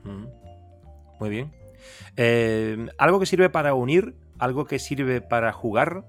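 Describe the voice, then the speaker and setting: A man's voice: mid-range voice, lively intonation, takes it slowly, soft background music. A man from southern Spain with an Andalusian accent, hosting a casual interview and putting questions conversationally. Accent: Andalusian Spanish. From Seville, Spain.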